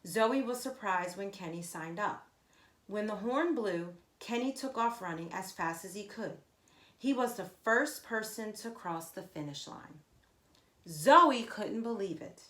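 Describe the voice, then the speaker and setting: A woman reading a children's story aloud expressively, with deliberate emphasis and asides to her listeners. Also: medium pitch, expressive, a bit bright. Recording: echoey, roomy sound.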